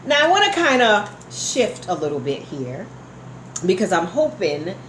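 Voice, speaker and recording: A woman's voice: medium pitch, sing-song, a little slow. Effusive woman hosting in a roomy space. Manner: effusive, encouraging. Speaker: radio show host. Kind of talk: live radio interview chat. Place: indoor room at a live online broadcast. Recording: roomy live sound, faint noise.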